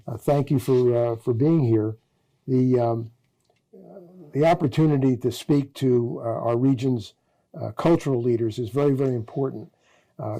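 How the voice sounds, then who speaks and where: Man, interview host, very dark mellow tone, hesitant with lots of fillers. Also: mid-range voice, low-quality recording. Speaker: TV talk show host. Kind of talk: interview hosting.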